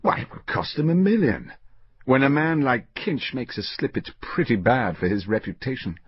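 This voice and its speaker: Guy doing a polite anxious gentleman banker, audiobook, husky, really swoopy intonation. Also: medium pitch, lively intonation, normal speed, muffled recording, voice pushed up. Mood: earnest. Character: worried well-bred male junior bank partner.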